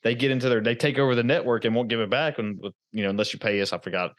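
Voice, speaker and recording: A man's voice: mid-range voice, steady tone, racing, no room sound, clean studio sound. A man from rural Virginia hosting a casual interview, talking in a rambling, informal way. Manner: rambling, informal, with many fillers. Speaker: podcast host.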